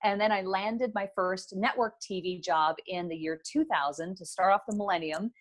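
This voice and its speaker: A woman's voice: medium pitch, normal speed. A middle-aged woman from Northern Ontario, with French as her first language, answering interview questions in the articulate, polished manner of a former broadcaster. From Northern Ontario, Canada. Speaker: guest, business owner.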